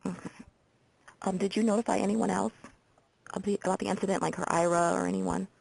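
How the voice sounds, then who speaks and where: A woman playing a procedural, businesslike hotline call taker with an American accent and a husky, creaky voice, in a simulated intake call. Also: medium pitch, steady tone, a little fast, darkish tone, no room sound, low-quality recording. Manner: procedural, reads details back methodically. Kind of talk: simulated phone intake interview for training.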